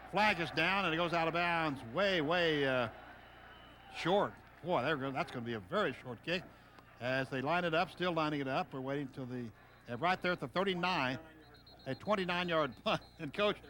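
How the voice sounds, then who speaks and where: A man doing live radio play-by-play of a football game, calling plays in short, excitable phrases. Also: a little high. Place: stadium broadcast booth. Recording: noisy stadium radio broadcast tape. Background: loud stadium ambience.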